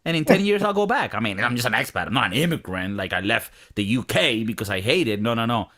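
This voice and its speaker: A man's voice: medium pitch, fast talker, noisy background. A man hosting a casual podcast, joking and swearing freely as he tells stories. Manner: profane, joking, animated storytelling. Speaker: podcast host.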